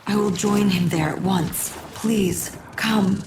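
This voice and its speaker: A very gravelly, echoing voice of a devout temple priestess in a video game. She is solemn and sorrowful, speaking on the low side with reverence over clear noise. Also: fairly even intonation, slow pace. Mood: grieving, reverent. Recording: echoey, noisy game audio.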